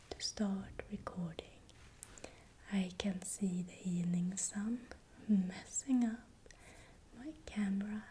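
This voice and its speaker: A woman with a Swedish accent whispering breathily and deliberately in short, soft phrases, as the host of an ASMR video. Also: medium pitch, slight background noise. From Sweden. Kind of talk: whispered ASMR, explanatory narration.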